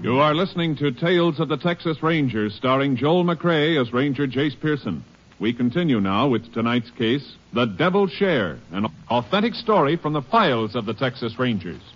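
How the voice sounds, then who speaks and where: A man's voice: mid-range voice, expressive, moderate pace. A man announcing formally as a 1950s radio drama announcer. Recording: dull old radio broadcast.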